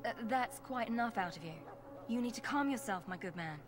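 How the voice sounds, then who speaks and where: A woman playing a calm, apologetic carer of the wounded in a fantasy game, polite and measured. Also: on the high side, background noise, close to an everyday voice. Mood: concerned firmness.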